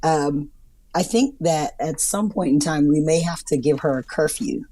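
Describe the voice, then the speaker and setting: A woman's voice: low voice, normal speed, dark tone. A woman chatting casually as a co-host. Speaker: show co-host. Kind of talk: casual chat.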